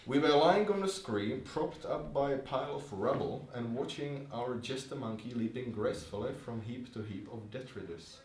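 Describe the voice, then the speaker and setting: A man's voice: medium pitch, animated, normal speed, few pauses. Man speaking at a roomy, distant live event. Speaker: reader and host of a literary reading event. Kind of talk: reading prose fiction aloud to an audience. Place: live reading event in a bar. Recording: roomy, distant live recording with faint noise.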